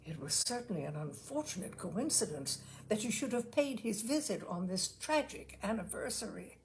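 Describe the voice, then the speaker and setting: A woman narrating a literary short story with dramatic, deliberate phrasing. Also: mid-range voice, very animated, gravelly, slight background noise. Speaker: story reader. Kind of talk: expressive scripted literary narration.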